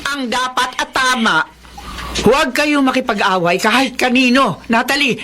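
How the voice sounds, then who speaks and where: A woman's voice: high voice, normal speed. A woman playing a firm, fair-minded mother in a Filipino radio drama, admonishing her children. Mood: exasperated. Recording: loud background noise.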